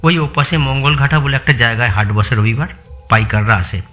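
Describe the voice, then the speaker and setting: An actor playing an older man, a loyal employee, brisk and plain-spoken, in a Bengali audio story. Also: medium pitch, bright, forceful tone, soft background music, natural voice. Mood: matter-of-fact. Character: Jashoda, older man, rural businessman's loyal longtime employee.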